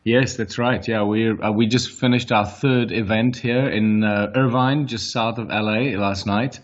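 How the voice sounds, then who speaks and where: A man with a strong South African accent, a polite and relaxed guest on a radio interview. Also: lowish voice, measured pace, muffled recording. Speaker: guest author.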